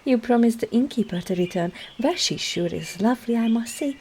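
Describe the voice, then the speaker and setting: A woman playing a sly, coaxing witch herbalist in an ASMR roleplay, speaking softly and often slipping into a whisper. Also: a little high, lively intonation, a little fast, slight background noise. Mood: curious.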